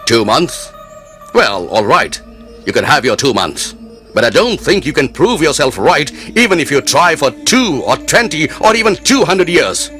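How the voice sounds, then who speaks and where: A man acting a proud, easily offended Mughal emperor in a pompous, commanding manner for an animated moral tale. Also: on the high side, moderate pace, faint music behind. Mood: mocking.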